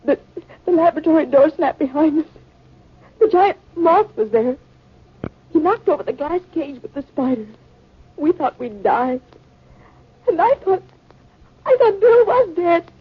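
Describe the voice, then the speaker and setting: Muffled old radio recording of a very high-voiced, distraught young wife from a 1950 thriller. She is frightened and shaken, pleading breathlessly in melodramatic style. Her words come out slowly and dramatically over a layer of hiss. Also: animated. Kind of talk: 1950s radio drama acting.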